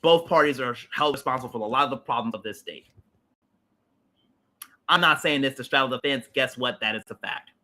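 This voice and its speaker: A man's voice: medium pitch, a little fast, long pauses, bright, forceful tone. A man, hosting a livestream and ranting about politics in a heated, emphatic, repetitive way with blunt swearing. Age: late 30s. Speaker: livestream host.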